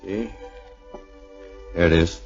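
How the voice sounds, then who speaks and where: A man's voice: low voice, takes it slowly. An actor playing a protective, kindly airman friend in a 1940s radio drama. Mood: gentle, coaxing. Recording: dull 1940s radio recording, faint music.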